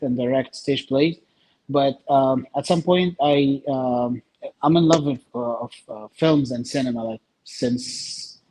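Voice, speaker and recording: Halting Egyptian man, medium-pitched voice. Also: a little mellow, low-quality recording. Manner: halting, many pauses between short phrases. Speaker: interview guest, filmmaker. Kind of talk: casual interview talk.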